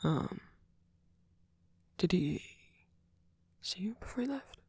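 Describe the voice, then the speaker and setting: A performer voicing a sweet, doting male vampire boyfriend in a breathy, hesitant ASMR whisper. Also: medium pitch, big pitch swings, dry recording, exaggerated voice. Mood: fond, hesitant. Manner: hesitant, halting, rambling, with soft filler murmurs. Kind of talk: ASMR roleplay, soft whispered comforting.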